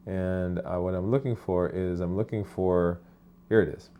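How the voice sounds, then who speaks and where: A man's voice: deep voice, steady tone, moderate pace, highs cut off. A man, a physician, hosting a casual live stream from his office, chatting with fillers and restarts while he answers viewer comments.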